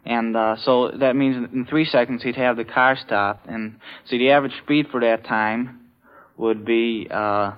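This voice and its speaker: Halting male quiz contestant, dull old recording. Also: medium pitch, steady tone, clean tone. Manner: hesitant, halting, methodical. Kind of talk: thinking aloud through arithmetic. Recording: dull vintage radio recording.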